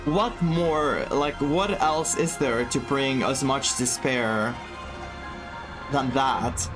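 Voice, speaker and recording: A man whose first language isn't English talks through a game he is playing. His commentary is slow and rambling, full of restarts, at a middle pitch. Game music plays clearly behind him. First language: not English. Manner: rambling, searching for words, excitable. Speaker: gaming streamer. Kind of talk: casual game playthrough commentary.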